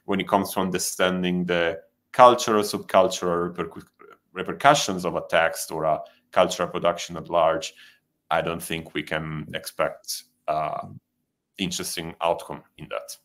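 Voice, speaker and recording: A man's voice: low voice, fairly even intonation, moderate pace, fairly bright tone. A man whose first language is Italian, speaking as a panelist in a discussion, thoughtful and hesitant with frequent restarts.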